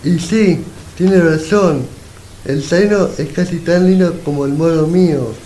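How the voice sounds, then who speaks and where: Un hombre como herrero de pueblo, pausado. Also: male voice, a little high, mellow, a little hiss or hum, close to an everyday voice, low-quality recording. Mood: frank, friendly. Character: frank, kindly village blacksmith selling horses. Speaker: amateur radio theatre actor. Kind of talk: radio theatre acting.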